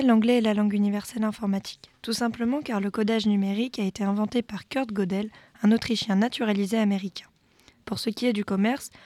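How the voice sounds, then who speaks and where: A woman's voice: mid-range voice, darkish tone, no echo. A young woman steadily reading her part of a prepared school report. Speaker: student presenter.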